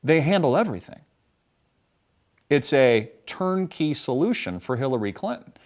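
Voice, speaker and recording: A man rants in combative, profane political commentary, dripping with sarcasm. His pitch swings in a lively way around a middle register. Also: moderate pace.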